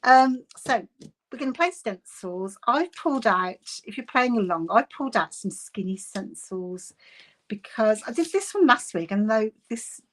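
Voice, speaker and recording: A woman's voice: a little high, animated, unhurried, poor audio. A woman with a Bristolian accent chats her way through a live crafting session. She giggles often and greets her viewers by name. She is recorded on a phone set on her desk at home. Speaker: craft livestream host. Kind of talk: casual live craft chat. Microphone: phone camera on desk.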